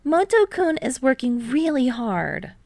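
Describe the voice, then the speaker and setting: A woman puts on a friendly teenage boy athlete from a storybook, lifted in pitch and expressive. He teases easily and frets readily, recorded close and dry. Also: unhurried, gravelly, dry recording, full character voice. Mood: teasing. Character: teenage boy, friendly, teasing, anxious volleyball player. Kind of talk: audiobook character voice.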